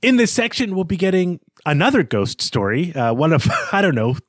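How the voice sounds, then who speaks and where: A man co-hosting a literary podcast, talking through his analysis in a measured, conversational way. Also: on the high side, very animated, fairly bright tone, no room sound, clean studio sound. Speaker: podcast co-host.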